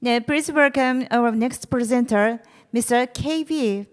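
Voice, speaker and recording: Female event announcer, higher-pitched, formal stage introduction, long hall reverb. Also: unhurried.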